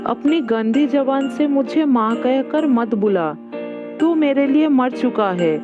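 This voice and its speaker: A woman's voice: high voice, lively intonation, slow. A woman voicing a protective, accusing mother elephant in a Hindi children's cartoon. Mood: enraged. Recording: dull lo-fi audio under loud music.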